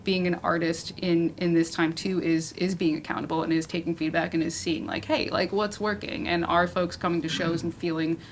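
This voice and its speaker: A woman's voice: on the low side, level tone, fairly quick, few pauses. A woman moderating a casual panel discussion, talking in a rambling, conversational way with lots of "like". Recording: noisy room ambience.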